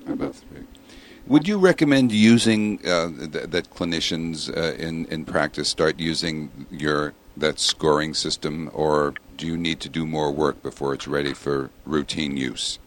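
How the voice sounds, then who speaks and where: A man's voice: extremely low pitch, measured pace, slight background noise. A man hosting a medical podcast, asking hesitant interview questions with fillers. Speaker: podcast host.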